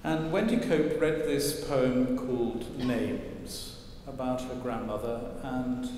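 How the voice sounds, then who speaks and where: An older man preaching a sermon from a church lectern, wry and gently humorous as he tells stories. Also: medium pitch, slow, a little hiss or hum. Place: large stone church, at a lectern. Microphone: lectern mic, far camera. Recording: echoey church with long reverb.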